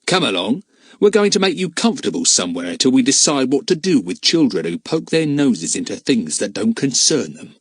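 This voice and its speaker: A man's voice: mid-range voice, sing-song, moderate pace, no echo, pitched above the performer's own voice. A man doing a jeering one-eyed villain. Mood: cold. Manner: rough, jeering. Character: menacing one-eyed adult male villain, rough and mocking. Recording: dry close mic.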